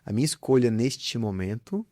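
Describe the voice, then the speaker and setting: A man's voice: medium pitch, expressive, moderate pace, mellow, no room sound, clean studio sound. A man, a business founder, talking earnestly and reflectively as an interview guest, often restarting his phrases. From southern Brazil. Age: adult with young children. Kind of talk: casual interview conversation.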